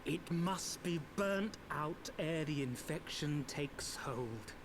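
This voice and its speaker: A man's voice: on the high side, lively intonation, slow, background noise. An actor playing a haughty, menacing zealot inquisitor in a video game, pompous and theatrical as he savors each word. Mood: menacing relish. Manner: pompous, mannered, savoring words. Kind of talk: video game villain acting.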